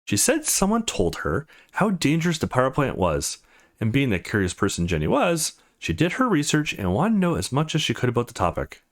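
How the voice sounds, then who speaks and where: Man reading a story aloud, very animated pitch. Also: brisk. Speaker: let's play host. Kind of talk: expressive read-aloud of visual novel story text.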